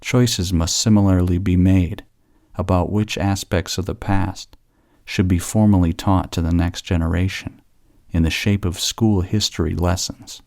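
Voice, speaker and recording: A man reading academic passages aloud as scripted narration, with measured, deliberate phrasing. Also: low voice, steady tone, a little mellow, dry recording, clean studio sound. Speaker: narrator of English test practice passages.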